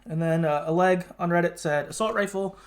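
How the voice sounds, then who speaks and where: A man's voice: high-pitched, brisk, a little hiss or hum. A man hosting a casual gaming podcast, talking informally and often qualifying what he says. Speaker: podcast host.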